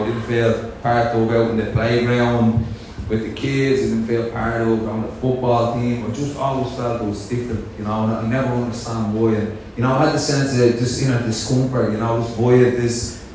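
A man's voice: mid-range voice, a little mellow. Young Dublin-accented man, loose and self-deprecating; large reverberant hall, distant mic, faint background hiss. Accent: Dublin Irish. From Dublin, Ireland. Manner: nervous, self-joking, loose with many fillers. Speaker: speaker sharing his story at a convention. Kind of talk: personal recovery talk. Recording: echoey hall, picked up from afar.

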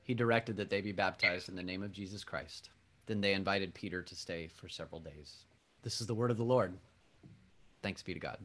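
A man's voice: deep voice, a little fast, fairly bright tone. A man who is a pastor, reading scripture and then earnestly welcoming a guest into a conversation during a church service. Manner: earnest, heartfelt, with some restarts. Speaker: Presbyterian pastor and podcaster hosting a conversation. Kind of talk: worship-service dialogue, welcoming and introducing a guest.

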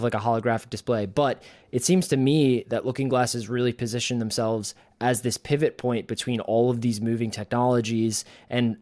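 A man's voice: medium pitch, a little mellow. A man hosting an interview, thinking aloud in long, loose sentences full of "like" and "you know.". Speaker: podcast host. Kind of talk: casual interview talk.

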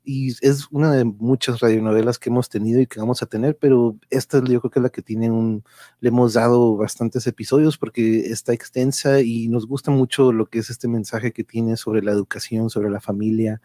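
A man with a Mexican Spanish accent and a medium-pitched voice hosts a casual live stream. His talk is slangy and loosely strung together, wandering from point to point. The sound is close and dry. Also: level tone, moderate pace, mellow, dry recording. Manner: informal, slangy, rambling. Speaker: host of an online radio drama show.

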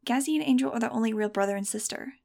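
A woman voicing a chatty, cheeky fifteen-year-old winged girl in an audiobook, emphatic and quick to retort. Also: on the high side, gravelly, darkish tone.

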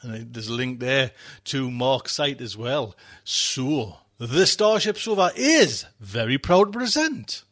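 A man's voice: mid-range voice, big pitch swings, slow pace, a bit bright. A man hosting a podcast, chatting in a rambling, repetitive way as he introduces the show. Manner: rambling, repeats himself. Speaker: podcast host.

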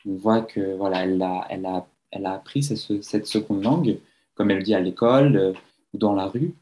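A man's voice: low-pitched, brisk, warm tone. A man hosting, talking casually with a listener and hesitating often over his words. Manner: hesitant, with many fillers. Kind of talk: casual commentary.

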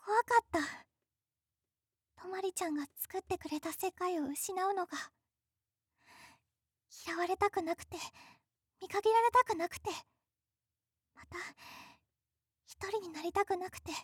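A woman voices a timid schoolgirl in a voice drama. She is devoted and earnest, with a very high, bright voice. Her tone is soft and pleading, in a dry studio. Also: a little slow, pauses often, no echo. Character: timid devoted teenage girl. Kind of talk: voice drama acting.

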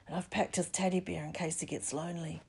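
A woman reading a story, voicing a big soft-hearted man who stammers and blusters fondly. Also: fairly low pitch, steady tone, fast, vocal fry, breathy edge, heavily acted. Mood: tearful. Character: big soft-hearted man, tearfully doting on his pet.

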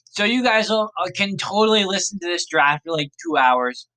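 Man, podcast host, slightly high voice, bright and forceful, a bit raspy, rambling casual talk. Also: expressive, normal speed, poor audio. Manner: rambling, laid-back, self-correcting. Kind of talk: casual thinking aloud during a fantasy draft.

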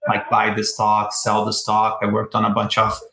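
A man with a Brazilian accent talks as a guest expert in an interview. His voice is bright and fairly level in pitch. The sound is echoey, as in a live room. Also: monotone, normal speed, a little hiss or hum. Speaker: guest, research director at an asset manager. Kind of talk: conversational panel interview. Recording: roomy echo.

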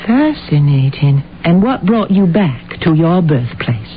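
An actress playing a poised, shrewd woman detective in an old-time radio drama, polite and deliberate in her theatrical delivery. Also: deep voice, big pitch swings, heavily acted. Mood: chatty, disarming. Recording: tinny, band-limited old radio recording, hiss.

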